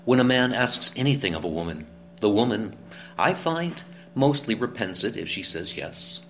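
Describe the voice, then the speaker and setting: A man voicing a lively, anxious young woman in a storybook reading, pitching his voice up. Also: normal speed. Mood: troubled.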